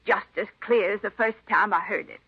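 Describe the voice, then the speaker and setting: An actress playing a folksy, forgetful, kindly elderly aunt who rambles in an old radio drama. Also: female voice, mid-range voice, normal speed, slightly rough. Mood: proud, doting. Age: older. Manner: rambling, folksy rural phrasing. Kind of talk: 1950s radio drama acting. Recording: dull old radio recording.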